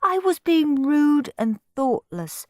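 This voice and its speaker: A woman's voice: sits high, big pitch swings, slow, no echo, clean studio sound, pitched above the performer's own voice, full character voice. A woman voicing a prim, well-behaved little boy who speaks politely in a storybook reading. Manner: polite and prissy.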